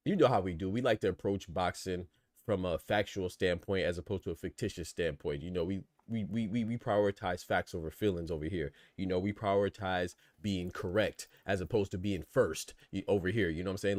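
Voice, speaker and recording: A man's voice: low voice, brisk, darkish tone, dry recording, clean studio sound. A man from the United States hosting a boxing podcast, giving casual, opinionated livestream commentary in colloquial, conversational speech.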